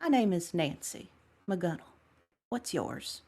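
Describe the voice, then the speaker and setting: Slow-paced, lilting, plain-spoken woman acted by a woman. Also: fairly low pitch, very animated, creaky, mellow, full character voice. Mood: friendly, delighted. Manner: chatty, encouraging. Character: friendly, plain-spoken woman answering a phone call. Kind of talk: table read of a science fiction story.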